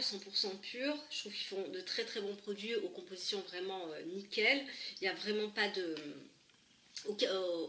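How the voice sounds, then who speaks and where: A woman's voice: mid-range voice, sharp tone, slight background noise. A woman with a head cold chatting through a makeup tutorial, hesitant and full of fillers and restarts, recorded at home. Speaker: beauty vlogger. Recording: echoey room, camera a bit far.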